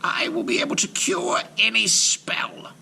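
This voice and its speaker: A man voicing a bossy, menacing old witch in a storybook, drawn-out and commanding, shifting between harsh whispers and shrieks. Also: very high-pitched, animated, vocal fry, pushed and bright, voice pushed up, full character voice. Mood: muttering, yearning.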